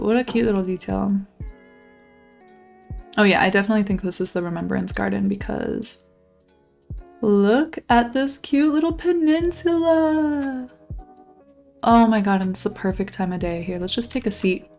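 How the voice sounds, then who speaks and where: A woman's voice: mid-range voice, a little slow, creaky, pauses often, darkish tone, soft background music. A young woman giving casual, gushing commentary while she tours a game island, her voice a little worn from losing it lately. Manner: bubbly, gushing, exclamatory. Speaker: channel host touring a game island.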